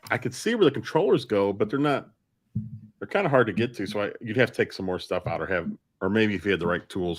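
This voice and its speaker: Male podcast co-host with a fairly low voice, telling stories in an informal, conversational way. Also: no echo. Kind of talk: casual chat with anecdotes.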